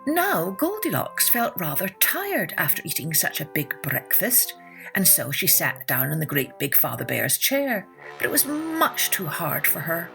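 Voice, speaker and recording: A woman's voice: fairly low pitch, gravelly. A woman narrating a children's fairytale as a podcast storyteller, expressively and with dramatic emphasis.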